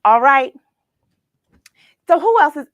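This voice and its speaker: A woman with a slightly high voice hosts a children's story livestream. She talks casually and cheerfully, addressing her audience directly as a teacher would. The sound is dry, with very little room echo. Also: very animated, takes it slowly, muffled recording. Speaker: host of a children's book read-along livestream. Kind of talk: casual livestream chat with viewers.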